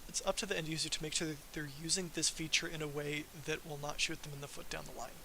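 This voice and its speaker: Male software presenter, forceful bright tone, informal and self-deprecating, faint hiss on the recording. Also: fairly high pitch, level tone, fast talker. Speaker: software developer presenting a live demo. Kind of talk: informal technical livestream presentation.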